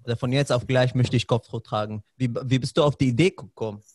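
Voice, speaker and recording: A man's voice: medium pitch, steady tone, fast, a little mellow, dry recording. A man in his thirties hosting a podcast, asking interview questions with frequent fillers, on a studio mic in a small room. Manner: hesitant with many fillers. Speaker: podcast host. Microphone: studio mic with headphones.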